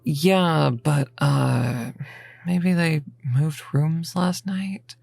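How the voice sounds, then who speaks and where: An actress playing a hesitant, anxious vampire woman in an audio drama, talking haltingly. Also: sits low, gravelly, a little mellow, slightly rough, dry recording, faint music behind, exaggerated voice.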